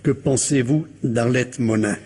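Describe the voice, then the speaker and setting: An actor in a French radio mystery drama playing a composed, courteous police commissioner who questions formally and patiently. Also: male voice, mid-range voice, measured pace, darkish tone, slight background noise, lightly acted. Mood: probing, calm.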